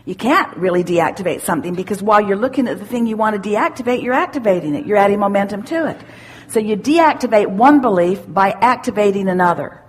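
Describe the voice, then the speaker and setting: A woman giving spiritual self-help teaching to a questioner, assured and deliberate, repeating phrases for emphasis. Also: mid-range voice, expressive, husky. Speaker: speaker leading a question-and-answer workshop.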